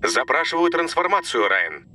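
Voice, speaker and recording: A man's voice: on the high side, big pitch swings, normal speed, bright, forceful tone, a little hiss or hum, heavily acted. A man voicing a loyal, logical cartoon robot car that speaks in clipped, formal, procedural announcements. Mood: calm, dutiful. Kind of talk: dubbed cartoon voice acting. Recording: music behind the voice.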